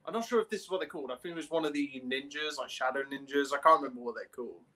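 A young man speaks a little higher than average. He co-hosts a casual podcast, putting his points across informally and somewhat tentatively. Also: racing, bright, forceful tone, low-quality recording. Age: young adult. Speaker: podcast co-host. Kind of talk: casual discussion. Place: indoor room at home, on video call.

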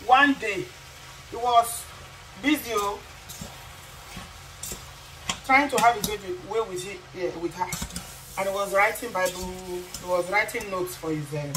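A middle-aged woman from Nigeria with a Nigerian accent, cooking in her home kitchen while talking passionately to the camera, asking rhetorical questions and repeating herself for emphasis. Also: mid-range voice. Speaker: vlogger. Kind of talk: cooking vlog with social commentary. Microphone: camera on the counter. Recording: frying noise behind voice.

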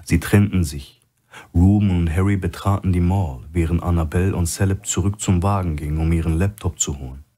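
A man's voice: low-pitched, a little fast, mellow. A man reading a crime thriller audiobook as scripted narration.

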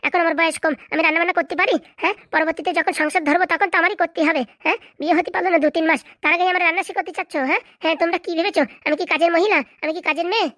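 Stubborn young newlywed played by a woman, high-pitched, extremely fast, complaining, comic cartoon. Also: steady tone, fairly bright tone, no echo, clean studio sound. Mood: angry, accusing. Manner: argumentative, emphatic, complaining. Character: aggrieved, stubborn young newlywed wife, spoiled daughter. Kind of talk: comic cartoon drama dialogue.

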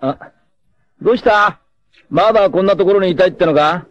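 An actor playing a carefree, roguish young martial artist and womanizer in a dramatic anime, with a cocky drawl and rough masculine speech. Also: high-pitched, a little fast, natural voice. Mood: boastful, playful. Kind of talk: dramatic 1980s anime voice acting. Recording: dull lo-fi old TV audio.

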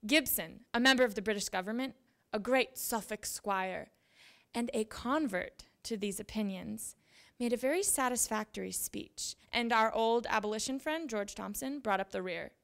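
A young woman reads old letters to a seated room through a handheld microphone. Her voice sits a little high and carries plenty of creak. She phrases each sentence with deliberate, measured care, as a dramatic literary reading. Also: a bit bright. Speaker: reader at a literary event. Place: library event room with seated audience. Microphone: handheld microphone at a lectern.

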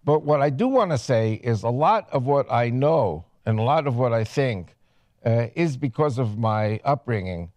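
A man in his seventies speaks as a guest in a studio, his delivery long-winded and broken by frequent fillers and restarts. His voice sits at a middle pitch. The recording is close and dry. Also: lively intonation, darkish tone, no room sound. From United States. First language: Spanish. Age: 70s. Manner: rambling, halting with many fillers. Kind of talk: interview.

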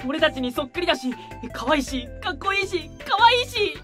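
A male actor voicing an excitable young male idol in an anime voice drama, with a gushing, playful manner, recorded on a binaural mic. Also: extremely high pitch, brisk, faint music behind, full character voice.

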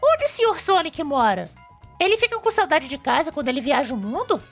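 A woman's voice: high-pitched, sing-song, a little fast, soft background music. A woman voicing a cheerful, brainy young male fox who presents a cartoon web show, eagerly explaining things with a Brazilian accent. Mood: friendly.

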